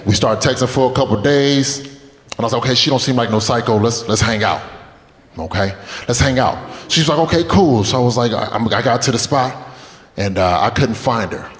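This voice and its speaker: A stand-up comic in his twenties talks loosely and profanely, chatting with the crowd between stories. His mid-pitched voice rings out across a club with a long, echoing reverb. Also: brisk. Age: 20s. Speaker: stand-up comedian. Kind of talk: stand-up comedy set. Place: comedy club stage. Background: audience laughter.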